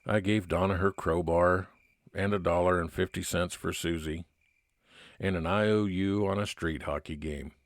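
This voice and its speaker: Man acting a deep-voiced hard-boiled private eye. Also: fairly even intonation, normal speed, no room sound. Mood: measured, resolute. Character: hard-boiled 1950s male private investigator, level-headed and determined. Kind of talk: old-time radio detective narration.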